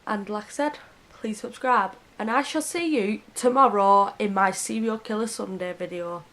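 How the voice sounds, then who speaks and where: Hoarse woman telling a crime story. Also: medium pitch, moderate pace, fairly bright tone. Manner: earnest, heartfelt. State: hoarse and sniffly from a cold. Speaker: true crime YouTuber. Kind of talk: casual true crime narration to camera.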